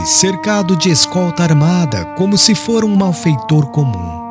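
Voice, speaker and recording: A man reading a literary audiobook narration in a solemn, formal, expressive manner. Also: on the high side.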